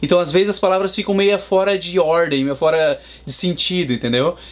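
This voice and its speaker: A Brazilian man in his thirties, a father, answering interview questions in a measured, explanatory way. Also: sits high, fairly even intonation. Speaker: guest, Brazilian father in Boston.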